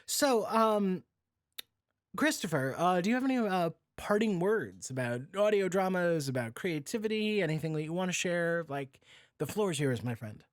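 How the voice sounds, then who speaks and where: A man's voice: high-pitched, expressive, normal speed, no room sound, studio quality. A man hosting a podcast in casual chat, blunt, joking and freely swearing. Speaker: podcast host.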